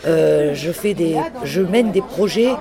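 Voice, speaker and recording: Man, high voice, eager and hesitant, café crowd behind. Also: slow, creaky. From France. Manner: eager and chatty, often hesitating with "euh". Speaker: conversation partner. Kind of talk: casual chat.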